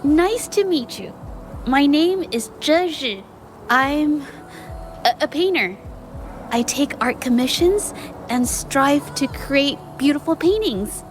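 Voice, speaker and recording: A woman's voice: high-pitched, animated, takes it slowly. An actress voicing a shy, timid young woman painter in a video game, hesitant and stammering. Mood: shy earnest. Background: music.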